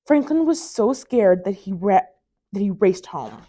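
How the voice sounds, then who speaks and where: Animated woman reading a children's story. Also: medium pitch, a little slow. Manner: expressive storytelling. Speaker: library staff storytime reader. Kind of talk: children's picture-book read-aloud.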